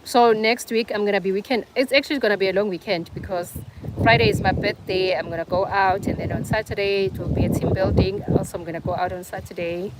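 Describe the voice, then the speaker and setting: A woman's voice: mid-range voice, fairly even intonation, fast, heavy background noise. A young South African woman with a South African accent, hosting a casual livestream and chatting with viewers, teasing them by name. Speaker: livestream host. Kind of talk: casual live chat with viewers.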